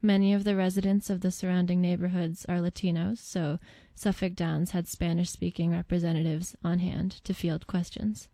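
A woman reporter reading scripted news narration in a measured, even way. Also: lowish voice, dry recording.

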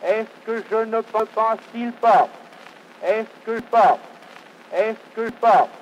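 A man teaching a French pronunciation lesson, reading verb drills in a measured, repetitive way. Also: high voice, lo-fi sound, slight background noise. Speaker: language teacher.

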